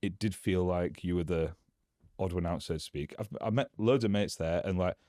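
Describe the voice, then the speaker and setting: A man's voice: deep voice, normal speed, warm tone, no room sound, clean studio sound. A young man with a northern English accent, chatting as a guest in a casual interview, rambling with lots of fillers and restarts. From Yorkshire, England.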